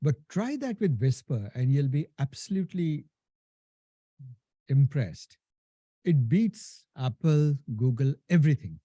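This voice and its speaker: A man's voice: medium pitch, sing-song, unhurried, mellow, no echo. A man with a thick Indian accent, giving a casual live technical lecture to a class, often asking "right?" and restarting sentences. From India and Nepal. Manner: casual, talks to the class as "guys", many "right?" tags and restarts. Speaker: instructor.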